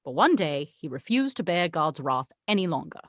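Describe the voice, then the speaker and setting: Casting: haughty angel played by a woman, lower-pitched, very animated, condescending and wry, table-read style. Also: normal speed, no room sound, clean studio sound, full character voice. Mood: wry storytelling. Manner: exasperated, condescending, wry storytelling. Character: haughty, sarcastic tequila-loving angel, the voice of God. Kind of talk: table read of a film script.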